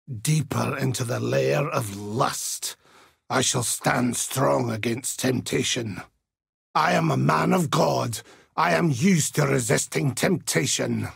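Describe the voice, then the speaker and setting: An actor playing a zealous, grim older Templar holy warrior in a video game, with a gravelly, raspy voice and solemn, righteous delivery. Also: male voice, a little high, no room sound, clean studio sound, exaggerated voice. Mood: righteous wrath. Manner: solemn, pious, righteous oratory. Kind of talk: video game character voice lines.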